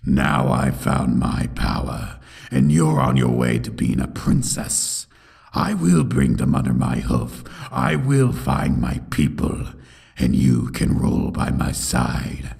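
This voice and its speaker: A man playing a bitter, menacing pony tyrant in a dramatic comic dub, with a gravelly growl and brooding, theatrical delivery. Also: extremely low pitch, wildly swooping pitch, slow, a little mellow, reverberant, exaggerated voice. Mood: menacing triumph.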